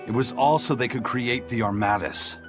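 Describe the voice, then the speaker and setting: An actor voicing a stern, seasoned pirate captain in game dialogue, speaking in a measured, grave, analytical way. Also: male voice, medium pitch, over music, natural voice. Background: game score.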